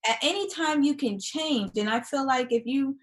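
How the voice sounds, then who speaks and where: A woman in her twenties from Texas with a Texan accent, a guest in a live-stream chat, soft-spoken and hesitant. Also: sits high, normal speed, slight background noise. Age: 20s.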